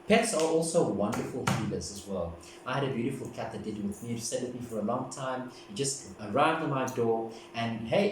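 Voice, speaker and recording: Low-voiced man in echoey room. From South Africa. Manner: gentle, meandering, repeats "as well" often. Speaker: tarot card reader. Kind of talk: casual spoken tarot reading. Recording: very echoey room, distant mic, background noise.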